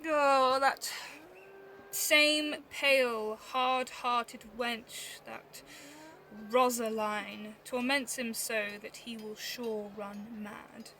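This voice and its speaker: A woman acting a witty, bawdy young Shakespearean rogue, female and mocking, in a high, bright voice. The delivery is theatrical and teasing, moving from loud flourishes to mutters at an unhurried pace, on a home recording with faint noise. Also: fairly even intonation, full character voice. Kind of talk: Shakespeare audio drama acting. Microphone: phone.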